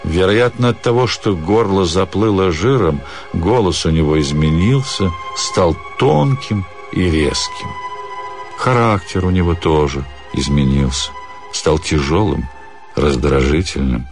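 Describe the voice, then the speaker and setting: A man reading a literary story theatrically, with expressive, measured phrasing and dramatic pauses. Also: low voice. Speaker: actor reading a short story. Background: music.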